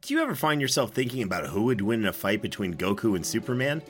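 A man's voice: fairly low pitch, very animated, fast talker, a bit bright, soft background music. A man co-hosting a podcast, delivering a scripted promo. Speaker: podcast co-host.

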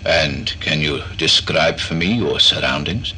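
A man playing an eccentric, patient doctor in a horror audio drama, questioning in a formal, measured way. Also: a bass voice, pushed and bright, a little hiss or hum, full character voice, poor audio. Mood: measured, clinical.

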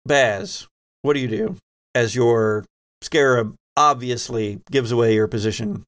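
Unhurried man narrating a tabletop game. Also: medium pitch, a bit bright. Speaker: game master and host.

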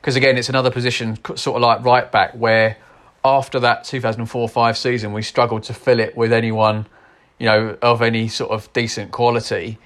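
Flat-voiced man chatting. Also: mid-range voice, a little fast. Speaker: podcast co-host. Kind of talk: casual chat.

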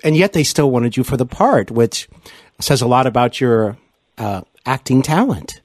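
A man's voice: medium pitch, animated, moderate pace, darkish tone, no room sound, studio quality. A man hosting a casual interview, speaking in a hesitant, rambling and encouraging way. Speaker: podcast host, interviewer.

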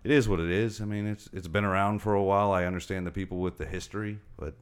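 A man's voice: low-pitched, fast talker, smooth. A man from Detroit, a comedian guest, chatting casually on a podcast and telling stories with laughs. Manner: animated storyteller, laughs while telling jokes.

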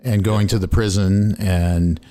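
A man's voice: low-pitched, steady tone, measured pace, dark tone. A man interviewing a guest in a casual, conversational style. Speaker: interviewer and road driver.

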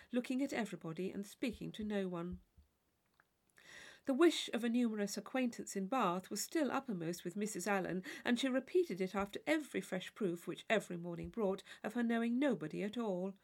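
A woman reading a classic novel as an audiobook, in expressive, measured literary narration. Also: mid-range voice. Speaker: audiobook reader.

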